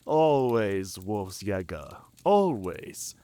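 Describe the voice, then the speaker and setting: Proud, animated werewolf outcast. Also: male voice, lowish voice, drawn-out words, no room sound, full character voice. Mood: proud, earnest. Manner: theatrical, emphatic, inquisitive. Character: proud, curious male werewolf outcast, devoted to pack tradition. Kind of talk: cinematic audio roleplay acting.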